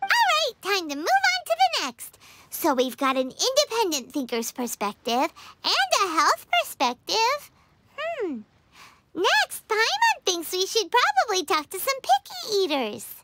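A woman voicing a tiny, bubbly, childlike fairy companion in a game, with a squeaky voice. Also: wildly swooping pitch, measured pace, pushed and bright, slight background noise, heavily acted. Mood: excited, upbeat. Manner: bubbly, third-person chatter.

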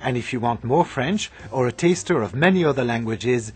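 A man narrating a language lesson in a slow, deliberate, teacherly way. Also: medium pitch, expressive, a little mellow, husky, faint music behind. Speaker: course narrator. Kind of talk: scripted language-lesson voice-over.

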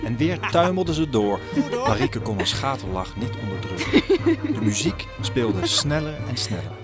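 A man's voice: medium pitch, wildly swooping pitch, normal speed. A man narrating an audio drama as a scripted, dramatic story. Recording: music under the narration.

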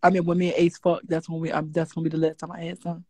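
Man, 20s, high voice, husky, brash, flirty, video call. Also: monotone, rapid-fire, a little mellow, dry recording. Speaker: livestream guest. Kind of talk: casual flirty livestream chat.

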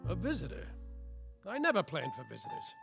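Guy doing a cocky boozy noir detective, gravelly but kinda high, comedy radio play narration. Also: huge pitch swings, brisk, faint music behind, exaggerated voice. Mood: dramatic. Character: semi-sober, world-weary, boastful male private detective.

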